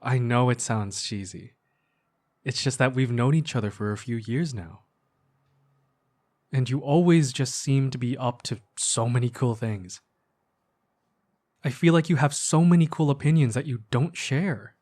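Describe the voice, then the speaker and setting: An earnest young male best friend played by a man in an ASMR roleplay. He speaks at an average male pitch with casual, hesitant phrasing, in an intimate, soft-hearted way, as if to one close listener. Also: unhurried, long pauses, lightly acted. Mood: hesitant, sincere.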